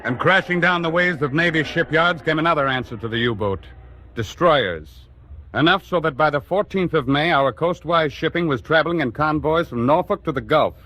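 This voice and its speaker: A man's voice: on the high side, bright, forceful tone. A man with an American accent narrating in a grave, oratorical 1940s radio drama style. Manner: grave, oratorical, measured phrasing.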